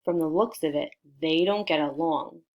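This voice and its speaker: A woman reading a picture book aloud to children in an expressive way, prompting her listeners with questions. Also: deep voice, normal speed, muffled recording. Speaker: storybook reader for children.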